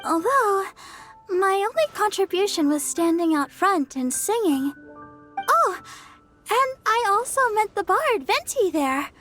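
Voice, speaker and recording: A modest, helpful young idol girl from a video game. Her voice is extremely high and gentle, with an earnest, bashful delivery. Faint music sits underneath. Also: very animated, a little slow, full character voice. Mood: sweet, admiring. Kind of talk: video game cutscene acting.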